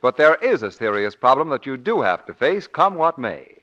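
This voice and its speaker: A man's voice: mid-range voice, big pitch swings, moderate pace, fairly bright tone. A man announcing in the polished, emphatic sales style of a 1940s radio commercial. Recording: muffled 1940s radio recording.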